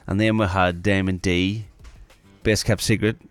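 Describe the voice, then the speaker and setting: A man's voice: sits low, little pitch movement, unhurried, no room sound. A man hosting a radio music show, chatting casually and laughing easily. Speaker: radio host.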